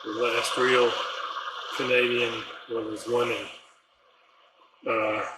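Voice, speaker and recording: An older man giving casual, low-key, unscripted commentary while demonstrating his radio as a hobbyist. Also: level tone, slow pace, heavy background noise.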